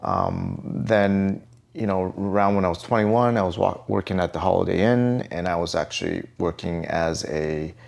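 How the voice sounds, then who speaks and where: A man's voice: sits low, sing-song, normal speed, vocal fry, a little mellow. A middle-aged man from Vancouver, a podcast guest telling his life story in an easygoing, rambling way. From Vancouver, Canada. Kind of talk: casual interview chat.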